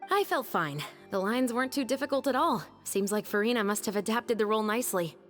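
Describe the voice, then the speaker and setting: A woman's voice: a little high, lively intonation, brisk, a bit bright, soft background music. A woman playing a composed, resolute young woman in a video game, firm and matter-of-fact. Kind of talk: scripted video game voice acting.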